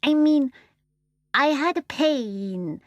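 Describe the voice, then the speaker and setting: A woman doing an outspoken little baby boy, high. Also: lively intonation, drawn-out words, no echo, highs cut off, heavily acted. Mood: defensive. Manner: loud, blunt.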